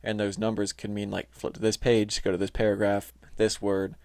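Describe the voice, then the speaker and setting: A man explaining things casually as a podcast host, halting and informal, often saying "like". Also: lowish voice, monotone, darkish tone, clean tone. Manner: informal, halting, heavy use of "like". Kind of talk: casual explainer talk reading from notes. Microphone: close, touchy microphone.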